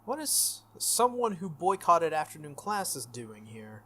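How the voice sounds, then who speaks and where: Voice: mid-range voice, big pitch swings, a little slow, fairly bright tone. A game playthrough host reading visual novel text aloud, sometimes stumbling and rereading, with casual asides.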